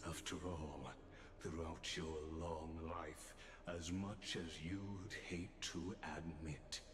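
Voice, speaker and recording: A man voicing a calm, ominous, coldly logical robot in a video game, speaking formally and deliberately. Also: low voice. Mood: coldly warning. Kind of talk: scripted video game dialogue. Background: loud game soundtrack.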